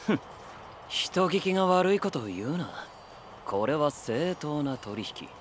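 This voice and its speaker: An actor playing a haughty, mercenary dealer who speaks in a clipped, scornful way. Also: male voice, fairly high pitch, big pitch swings, a little slow, slight background noise. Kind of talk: anime voice drama acting.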